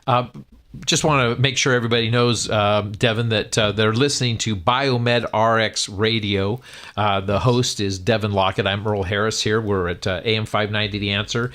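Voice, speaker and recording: A man's voice: lowish voice, fairly even intonation, moderate pace, fairly bright tone. An American man co-hosting a radio show, moderating and putting questions to his colleague. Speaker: radio co-host.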